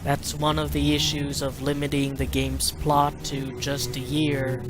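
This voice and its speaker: A mid-range voice gives casual video game commentary, explaining things as a player would in a walkthrough. Game music plays clearly behind the speech. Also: mid-range voice, little pitch movement, unhurried. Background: video game music and sounds.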